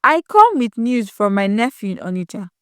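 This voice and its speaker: A woman voicing a respected old male village elder in a moral story, with a measured, weighty manner. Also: fairly high pitch, big pitch swings, smooth, no echo, studio quality. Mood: grave, measured.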